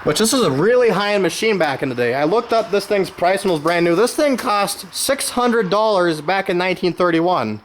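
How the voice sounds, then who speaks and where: A man's voice: high-pitched, expressive, a little fast, few pauses, a little hiss or hum. A man giving an informal, unscripted show-and-tell as a hobbyist collector, rambling along with folksy enthusiasm. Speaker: vintage radio collector presenting his own channel.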